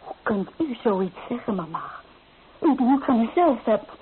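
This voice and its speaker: Restless young bride, tinny old recording. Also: female voice, on the high side, big pitch swings, moderate pace. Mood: defiant, agitated. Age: young adult. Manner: emotional, poetic, impassioned. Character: restless, romantic young bride-to-be, anguished and defiant. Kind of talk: old Dutch radio drama acting. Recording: thin, band-limited old radio recording, faint noise.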